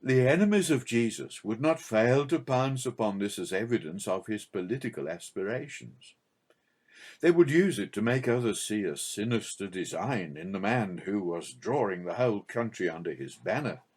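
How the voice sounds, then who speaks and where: A man reading a religious audiobook as scripted narration, in a measured, formal and expressive way. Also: fairly low pitch.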